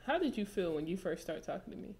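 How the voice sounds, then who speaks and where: Deep-voiced young woman chatting on a podcast. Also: sing-song, quick pace, a little mellow, slight background noise. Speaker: podcast co-host. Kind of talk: casual chat. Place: small room indoors. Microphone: studio mic with headphones.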